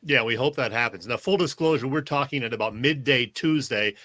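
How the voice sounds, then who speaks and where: A man with an American accent hosts a sports talk interview in a bright, forceful voice. The sound is dry, and his questioning is conversational. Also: mid-range voice, fairly quick, no room sound. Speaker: sports show host, interviewer.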